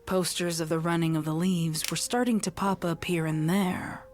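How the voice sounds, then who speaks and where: A woman reading a dark story in a dramatic, deliberate and suspenseful way. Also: on the low side, steady tone. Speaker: audiobook narrator of fan fiction. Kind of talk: dramatic scripted story narration. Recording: loud music under the voice. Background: music.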